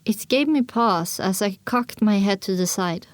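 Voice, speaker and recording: A woman's voice: medium pitch, unhurried, dry recording. A woman reading scripted first-person dramatic narration for an audiobook.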